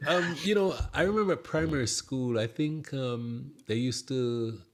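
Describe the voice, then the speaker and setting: A man's voice: medium pitch, unhurried. A middle-aged man, hosting a podcast with casual questions and chat. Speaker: podcast host.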